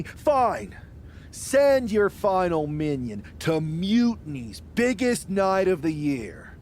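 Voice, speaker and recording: An actor playing a villainous wrestler and rebel faction leader, mocking, power-hungry and theatrical, in a video game cutscene. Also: male voice, a little high, a little hiss or hum. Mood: defiant. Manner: theatrical, taunting, deliberate.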